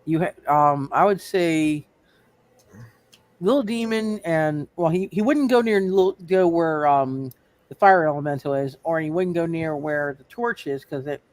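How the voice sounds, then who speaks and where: A man running a tabletop roleplay game, halting and often repeating his phrases. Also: on the high side, no echo. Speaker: game master.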